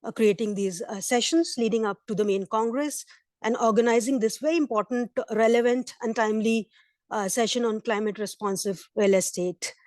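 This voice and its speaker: A hoarse woman presenting at a virtual conference session, earnest, with fillers and restarts. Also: fairly high pitch, moderate pace, a little mellow, no echo. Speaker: invited speaker. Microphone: computer mic on video call.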